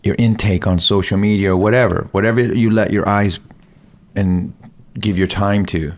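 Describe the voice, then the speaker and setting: A man's voice: fairly low pitch, steady tone, moderate pace. A man hosting a casual podcast interview, encouraging and enthusiastic toward his guest. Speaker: podcast host.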